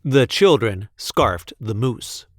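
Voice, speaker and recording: A man reading a picture book aloud expressively, with deliberate, playful emphasis on the sentences. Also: medium pitch, expressive, no room sound, studio quality. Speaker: read-aloud storyteller.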